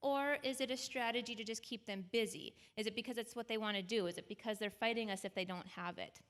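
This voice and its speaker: A woman with a bright, crisp voice answers parenting questions on a panel in a church. She speaks in a conversational way and keeps checking in with her listeners, tossing in a quick "right?". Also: a little high, steady tone. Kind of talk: advising at a panel discussion. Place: church auditorium.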